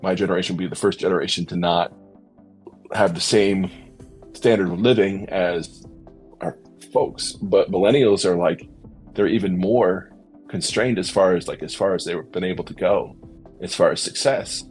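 A man's voice: sits low, normal speed. A middle-aged man talking in a thoughtful, tentative way as a panelist in a casual, reflective discussion. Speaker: podcast panelist. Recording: faint music underneath. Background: soft background music.

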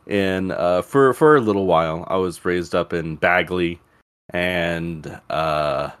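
A man's voice: deep voice, takes it slowly, a bit bright, smooth, dry recording. A man from Alabama with a Southern US accent, hosting a podcast in casual conversation. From Alabama, USA. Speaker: podcast host. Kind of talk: casual chat.

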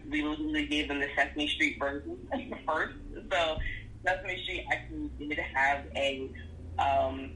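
Man guest, bright tone, slightly high voice, earnest and halting. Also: a little hiss or hum, rough recording. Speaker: guest parent. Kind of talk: casual interview answer.

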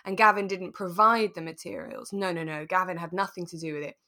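A woman co-hosting a relaxed discussion podcast, talking in a thoughtful, tentative, explanatory way. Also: lowish voice, brisk. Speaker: podcast co-host. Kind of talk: relaxed radio drama discussion chat.

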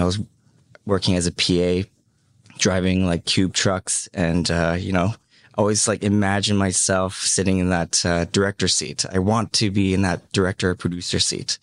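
A man in his thirties who grew up in Hong Kong, a podcast guest telling his life story in a casual, halting way, full of "um" and "you know". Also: low voice, fairly even intonation, no echo, studio quality. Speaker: podcast guest, media entrepreneur.

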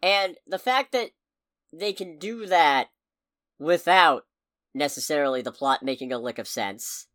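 A woman's voice: low voice, a little slow, no room sound, studio quality. A woman co-hosting a podcast, chatting casually about a film with wry, profane humor. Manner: wry, profane, lively storyteller. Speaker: podcast co-host. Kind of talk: casual movie review chat.

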